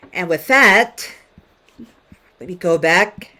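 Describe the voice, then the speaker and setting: A woman in her late fifties with a lowish voice speaks to students over a video call. She talks conversationally, tells stories, and pauses to ask the class questions. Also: sharp tone. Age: 50s. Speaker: guest musician teaching a class. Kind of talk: online classroom talk.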